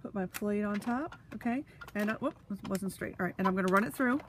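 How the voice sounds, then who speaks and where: A woman's voice: mid-range voice, brisk, a little hiss or hum. A woman with a stuffy, sniffly nose, chatting casually with lots of fillers while she demonstrates a craft tool. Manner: talks while handling tools. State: congested, sniffly.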